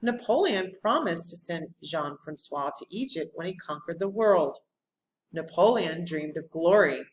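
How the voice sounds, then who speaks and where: A woman reading a storybook aloud like a teacher, with deliberate, dramatic phrasing and pauses. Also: lowish voice, lively intonation, slight background noise, low-quality recording. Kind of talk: expressive read-aloud of a children's history storybook.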